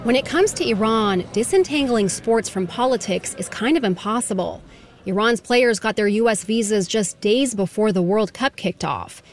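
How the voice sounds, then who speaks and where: A woman's voice: on the high side, lively intonation, moderate pace. Woman reading a radio news report. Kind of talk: scripted news narration.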